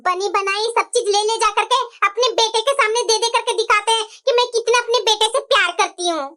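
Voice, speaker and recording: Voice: very high voice, quick pace, bright, forceful tone. A performer voicing a defiant, aggrieved young daughter-in-law in a family cartoon, with pointed, indignant emphasis. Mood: bitter, indignant. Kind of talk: Hindi family moral-story cartoon voice acting.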